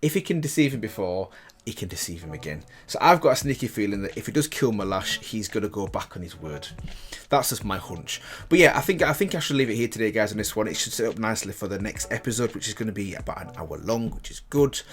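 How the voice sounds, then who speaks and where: A man's voice: on the low side, lively intonation, fast talker. A man hosting a reaction channel, giving casual, chatty commentary and repeating himself for emphasis.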